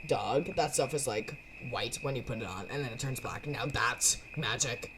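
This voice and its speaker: A woman voicing a laid-back, slangy cat schemer who rambles eagerly in halting bursts, as in a game. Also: a bass voice, fairly bright tone, a little hiss or hum, voice pushed down. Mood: pleased, scheming. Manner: halting, stoner-like slang delivery.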